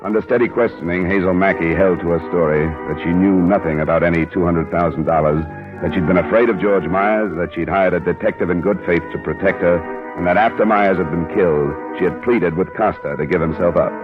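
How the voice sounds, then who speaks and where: A man's voice: sits low, brisk, natural voice. A male actor with an American accent playing a terse, world-weary investigator in an old-time radio detective drama, clipped and pressing. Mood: matter-of-fact narration. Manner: clipped, pressing, matter-of-fact. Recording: tinny old radio recording, music.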